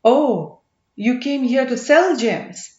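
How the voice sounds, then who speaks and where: A woman's voice: fairly high pitch, expressive, slow pace, darkish tone, reverberant, lo-fi sound. A woman voicing a scheming, derisive middle-aged male commander in an audiobook. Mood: mocking. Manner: derisive, needling.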